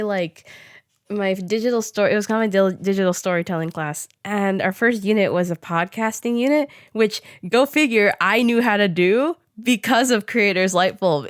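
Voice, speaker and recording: A young woman hosting a podcast, chatting casually in a giggly, animated way peppered with "like". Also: medium pitch, no echo, clean studio sound. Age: young adult. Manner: giggly, animated, talks with frequent "like". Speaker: podcast host. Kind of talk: casual conversational interview chat.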